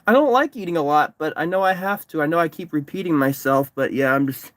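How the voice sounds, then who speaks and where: Male streamer, higher-pitched, dry close phone sound, tired, rambling chatter. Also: fast talker, a bit bright, no room sound. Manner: rambling, repeats himself, frequent restarts. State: exhausted, sunburned. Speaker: livestreamer, distance swimmer. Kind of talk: casual livestream chat reading comments. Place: parked car.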